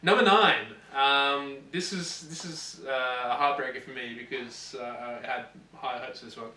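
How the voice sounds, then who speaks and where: A man co-hosting a casual gaming show, counting down a list in a halting, repetitive, jokey sarcastic way. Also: medium pitch, pushed and bright, slight background noise. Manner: halting, repeats phrases, sarcastic joking. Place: echoey indoor room, voice away from mic. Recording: echoey room, distant mic.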